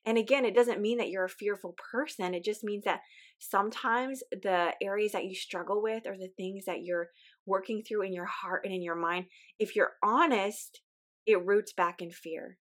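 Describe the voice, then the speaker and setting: Brief: woman host, voice weak from illness, earnest and encouraging, middle pitch. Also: fairly even intonation, moderate pace, a bit bright. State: weak, recovering voice. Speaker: podcast host. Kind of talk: casual podcast monologue.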